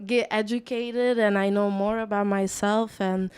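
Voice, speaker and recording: A woman from Chile, a guest DJ, talking casually in a radio interview with lots of "like" and animated asides. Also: mid-range voice, a little slow, smooth, dry recording. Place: radio studio.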